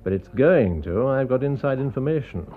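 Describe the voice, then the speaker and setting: An actor playing a coolly scheming English gentleman surgeon in a radio drama, confiding and deliberate. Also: mid-range voice, expressive, narrow, tinny audio, natural voice. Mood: confiding, persuasive.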